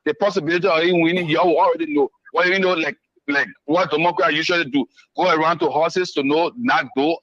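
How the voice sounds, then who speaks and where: A man in casual talk-show chat, an animated storyteller who speaks quickly and emphatically. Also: a little high, dry recording, dull audio.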